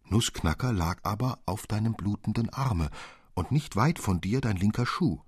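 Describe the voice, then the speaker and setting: A man's voice: lowish voice, animated, moderate pace, a little mellow, slightly rough, dry recording, studio quality. A man reading the part of a stern, worried mother who scolds her sick child in a radio story.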